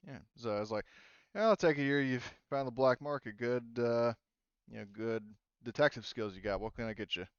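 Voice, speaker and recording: A man running a casual tabletop game as game master, explaining rules and narrating in an informal, halting way with frequent restarts. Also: medium pitch, clear, resonant tone, no echo, highs cut off.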